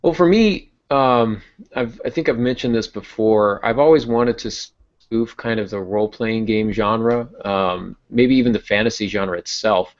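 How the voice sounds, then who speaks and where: Low-voiced man with dull lo-fi sound. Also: unhurried. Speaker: podcast host and novelist. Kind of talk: casual podcast discussion. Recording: dull lo-fi voice recording.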